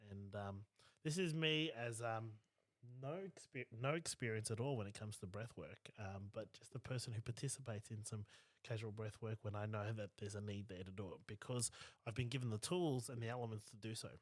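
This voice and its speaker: A New man of Māori background co-hosting a podcast, talking in a rambling, animated way with many fillers. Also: lowish voice, fairly quick, no echo. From New Zealand. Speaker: podcast co-host. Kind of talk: casual podcast conversation.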